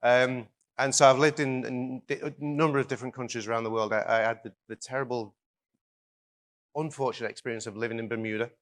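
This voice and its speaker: A man with an Irish-tinged Liverpool accent giving a conference keynote in a relaxed, joking way, with lots of ums and restarts. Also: mid-range voice, brisk. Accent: Irish-tinged Liverpool English. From England, raised near Liverpool in an Irish family. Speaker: keynote speaker. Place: conference stage.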